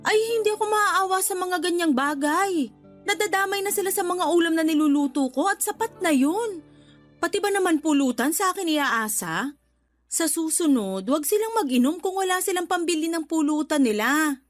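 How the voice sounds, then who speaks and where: A married woman in a radio drama, keeping house and laying down the law to her brother. Her voice is high, emphatic and preachy, over a soft music bed. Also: animated, normal speed. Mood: annoyed. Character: exasperated married woman, homeowner scolding her older brother. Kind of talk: Tagalog radio drama acting.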